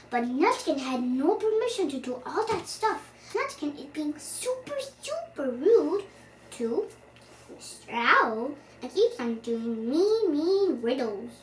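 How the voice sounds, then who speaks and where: A high, lively child's voice reads a storybook aloud with playful expression. The sound is roomy and a little distant from the mic. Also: a little hiss or hum. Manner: expressive, playful, speaking to young listeners. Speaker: story reader and host.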